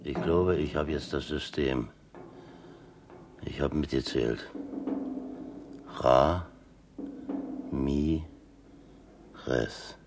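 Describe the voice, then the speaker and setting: An actor playing a streetwise, plain-spoken prisoner in a radio play, patient and laconic, with a colloquial, matter-of-fact way of talking. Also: male voice, very deep voice, drawn-out words, pauses often, music in the background, heavily acted. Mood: reflective, streetwise. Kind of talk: 1970s German radio play acting.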